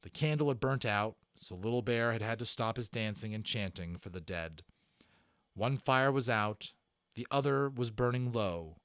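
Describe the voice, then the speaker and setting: A man giving an expressive, dramatic read-aloud of a children's book as narrator. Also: mid-range voice, moderate pace, no room sound, clean studio sound. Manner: expressive, performed reading. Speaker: narrator and voice actor.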